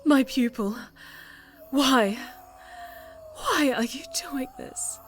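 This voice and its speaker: A woman's voice: high-pitched, very slow, a little hiss or hum. A woman playing a proud, betrayed witch mentor in a scripted fantasy roleplay, dramatic and anguished as she pleads or rebukes. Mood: anguished, pleading. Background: background music.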